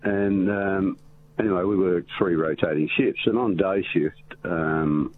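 A man calling in on the phone, telling a rambling story in a loud voice. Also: low voice, steady tone, measured pace. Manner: loud, rambling, restarts often. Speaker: caller. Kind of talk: telling a story on a call-in show. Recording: tinny phone line with faint hiss.

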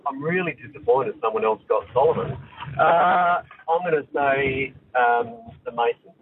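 A man's voice: medium pitch, animated, moderate pace. A man chatting casually as a radio quiz caller on a speakerphone. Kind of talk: casual phone chat. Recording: noisy, tinny speakerphone call.

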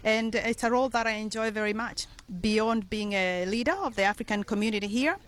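A woman's voice: a little high, a bit bright. Earnest middle-aged Kenyan woman. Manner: earnest, measured, with frequent ums and uhs. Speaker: community leader guest. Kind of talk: radio interview.

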